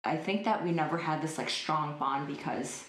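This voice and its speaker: A woman in her twenties speaks at the low end of women's pitch, chatting casually and filling her sentences with "like" and "um". The room around her gives a noticeable echo, as in an interview recorded in an open space. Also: normal speed, fairly bright tone. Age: 20s. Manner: chatty, lots of likes and ums. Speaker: interview guest. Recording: roomy echo.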